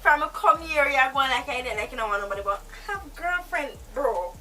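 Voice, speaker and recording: A woman's voice: high-pitched, fast, distant mic, faint music behind. A woman playing a volatile young woman who holds a man captive, speaking, menacing at times and pleading at others. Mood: agitated. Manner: threatening, shifts to pleading and shouting.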